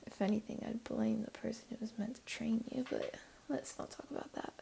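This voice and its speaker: A woman voicing a flirty, teasing, comforting jaguar beastling in an intimate ASMR roleplay, speaking softly and coaxingly in a half-whisper. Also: fairly high pitch, fairly even intonation, fairly quick, creaky, noisy background. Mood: reassuring, teasing.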